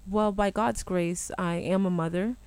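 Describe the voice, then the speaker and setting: A woman's voice: medium pitch, fairly even intonation, measured pace, darkish tone, smooth. A woman, a gospel singer born in Germany, answering interview questions politely and deferentially. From born in Germany, Ghanaian-American. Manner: deferential, repeats "yes, sir" and "by God's grace".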